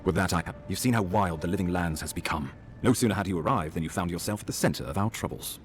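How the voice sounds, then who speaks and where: Man playing a deep, formal imperial ambassador. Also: quick pace, slight background noise, close to an everyday voice. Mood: formal, eager. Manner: formal, stately wording. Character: grave, dutiful male ambassador of a fantasy empire. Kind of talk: dramatic video game dialogue.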